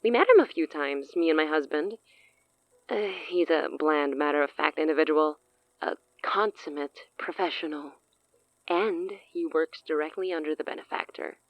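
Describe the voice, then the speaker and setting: An actress playing a cunning, self-assured sorceress in an audio drama, speaking in a theatrical, silky, condescending way. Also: female voice, low-pitched, normal speed, dry recording, lo-fi sound. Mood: poised, persuasive. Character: cunning, self-assured woman sorceress offering a dangerous pact.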